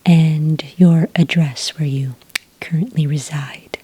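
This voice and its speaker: A woman doing a soft-spoken ASMR roleplay as a patient enrollment witch, in a breathy, whispery voice with a gentle, polite manner. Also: sits low, fairly even intonation, a little hiss or hum. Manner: gentle, slow, hesitant official patter. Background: computer keyboard typing.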